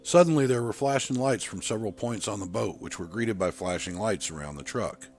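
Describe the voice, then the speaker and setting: A man narrates fiction in a lowish voice with lively, swinging intonation. The delivery is that of a scripted audiobook reading. Music plays faintly behind him. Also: brisk, creaky. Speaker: story narrator. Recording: music bed under voice. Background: background music.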